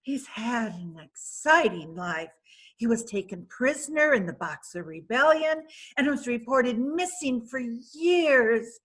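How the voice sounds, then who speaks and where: A woman's voice: on the high side, animated, a little slow, a bit bright. A woman reading Mrs. Courtney Page, chatty and proud. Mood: boastful. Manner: chatty, self-satisfied. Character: wealthy worldly woman proud of her fiancé. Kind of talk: readers theatre play reading.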